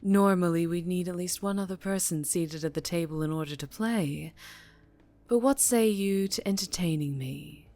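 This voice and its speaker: A woman playing a dangerously charming, teasing mafia boss in an ASMR roleplay, speaking deliberately and politely with veiled menace. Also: on the low side, mellow, natural voice. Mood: playful, inviting.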